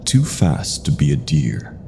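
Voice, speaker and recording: Very deep, gravelly male horror narrator. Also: wildly swooping pitch, takes it slowly, background noise. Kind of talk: scripted first-person horror narration.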